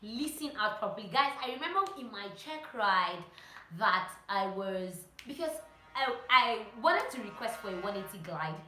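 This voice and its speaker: A woman giving casual, animated instructional vlog talk, telling anecdotes and repeating phrases for emphasis. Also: medium pitch, moderate pace, soft background music. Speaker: vlogger and pilot sharing training tips. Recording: roomy echo.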